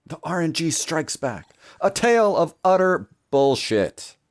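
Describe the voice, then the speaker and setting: A man's voice: a little high, very animated, unhurried. A man delivering scripted mock-epic narration about a video game in a grandiose, theatrical, mock-solemn manner. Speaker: video storyteller and gamer.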